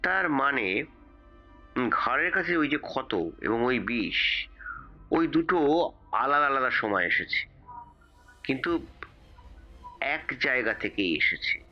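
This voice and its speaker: A man playing a calm, businesslike investigator reporting over the phone in an audio detective drama, measured and explanatory. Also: mid-range voice. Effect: telephone filter. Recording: muffled phone-filtered voice over music.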